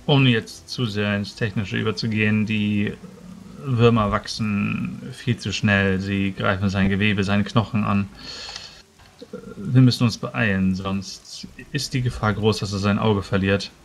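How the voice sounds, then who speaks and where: A man as a low-voiced, thoughtful fantasy healer. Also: steady tone, vocal fry, slight background noise. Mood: grave. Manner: measured, hesitant, formal address. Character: thoughtful fantasy healer scholar, careful and concerned.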